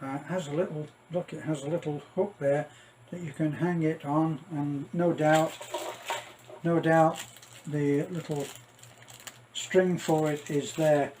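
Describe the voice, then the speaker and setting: Slow-talking man, casual unboxing. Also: fairly high pitch, steady tone, noisy background. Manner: rambling, halting, thinking aloud. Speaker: vlogger.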